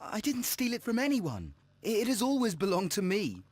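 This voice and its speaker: A man playing a frightened young murder suspect, stammering and pleading in a dramatic video game style, with a husky, strained voice. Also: high voice, huge pitch swings, moderate pace, darkish tone, dry recording, full character voice. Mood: panicked denial.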